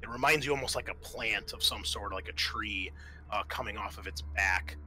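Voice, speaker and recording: A man's voice: lowish voice, moderate pace, pushed and bright, low-quality recording. A man narrating a tabletop roleplaying game as game master, describing scenes in an informal, improvised, hesitant way.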